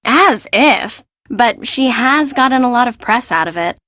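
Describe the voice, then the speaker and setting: Young gossipy assistant in a video game, confiding and chatty, close dry sound. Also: female voice, a little high, lively intonation, measured pace, bright, forceful tone, no room sound. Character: chatty young female fashion assistant, gossipy, deferential to boss.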